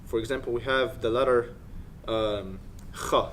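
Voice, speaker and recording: A student from Egypt answering interview questions, explaining at length with many pauses and um fillers. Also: male voice, mid-range voice, steady tone, fairly bright tone, slight background noise.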